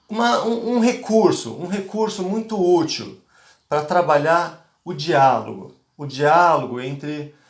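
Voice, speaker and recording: Hesitant Brazilian man lecturing, echoey. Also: fairly high pitch. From Bahia, Brazil. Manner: hesitant, many fillers and restarts. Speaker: speaker, conflict mediator. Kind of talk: online lecture to teachers.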